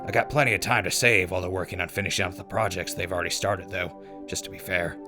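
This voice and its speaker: A man plays a laid-back wasteland DJ with a low voice in an audio drama. He reads news and ads in a wry, grumbling, informal way over clearly audible music. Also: steady tone, fast, lightly acted. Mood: wry, easygoing. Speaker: radio host and news reader. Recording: music behind voice.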